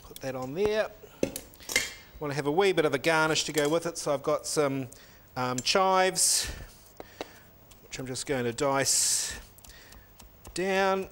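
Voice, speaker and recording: A man's voice: on the high side, slow pace, pauses often, fairly bright tone, slight background noise. A middle-aged man, a chef in a teaching kitchen, explaining each step of a recipe while he cooks, on a lapel mic. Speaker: cooking show chef. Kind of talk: cooking demonstration.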